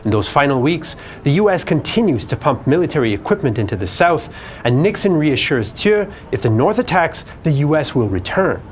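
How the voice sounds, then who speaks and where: A man's voice: a little high, very animated, normal speed, a little hiss or hum. A man in his thirties, host of a history channel, delivering scripted documentary narration in a studio. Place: dark studio set.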